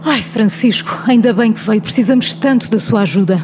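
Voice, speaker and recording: A woman's voice: on the high side, fairly quick, noisy background, lightly acted. Earnest, dramatic woman, acted in radio comedy. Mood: distressed. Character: earnest, distressed woman newsroom colleague.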